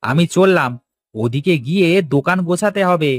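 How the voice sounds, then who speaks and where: A man acting a busy, animated village fish seller. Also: fairly high pitch, normal speed, lightly acted. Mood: businesslike. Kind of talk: Bengali cartoon dialogue acting.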